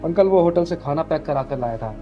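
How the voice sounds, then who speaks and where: Fairly high-voiced young man played by an actor. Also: animated, fairly quick, lo-fi sound, soft background music, close to an everyday voice. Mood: defensive. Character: young man, tenant or son, melodramatic. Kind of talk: melodramatic short-film acting.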